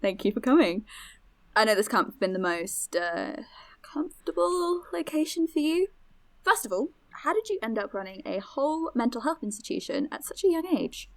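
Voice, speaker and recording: A woman's voice: a little high, big pitch swings, moderate pace. A woman playing an eager, friendly young podcast host in an audio drama, chatty, encouraging and inquisitive. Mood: curious.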